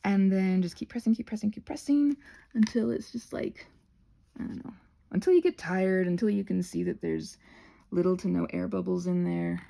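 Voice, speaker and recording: A woman's voice: medium pitch, moderate pace, vocal fry, mellow. A woman hosting an informal craft tutorial, talking in a scattered, playful way and often interrupting herself with asides. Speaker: host of a craft tutorial channel.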